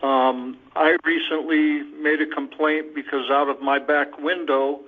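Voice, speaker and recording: A man's voice: a little high, level tone, measured pace. A man giving testimony as a caller on a telephone conference call, matter-of-fact in manner. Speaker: patient caller giving a complaint. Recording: thin, narrow phone line.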